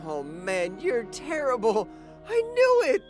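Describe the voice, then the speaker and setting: A woman's voice: a little high, huge pitch swings, slow, exaggerated voice. A woman playing a cheeky, easily flustered little boy in an anime audio drama. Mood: teasing. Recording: music under the voice.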